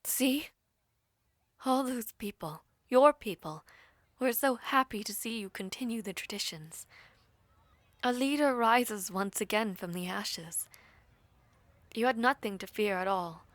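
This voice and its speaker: A woman playing a formal, composed villainess devoted to her prince, speaking in slow, breathy, measured phrases in a fantasy audio roleplay. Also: fairly high pitch, animated, no room sound. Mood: warm, proud. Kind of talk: fantasy audio roleplay with first-person narration.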